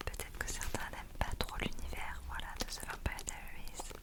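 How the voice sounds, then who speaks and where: A woman speaking in a soft, breathy, unvoiced whisper in an intimate ASMR reading style. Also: normal speed. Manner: soft, hushed, intimate delivery. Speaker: ASMR content creator reading a book aloud.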